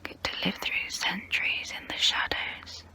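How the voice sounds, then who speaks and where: A woman voicing a haughty immortal vampire who looks down on humans, in a breathy close-up whisper for an ASMR role play. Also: bright, forceful tone, poor audio. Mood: disdainful, musing. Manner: slow, deliberate, condescending.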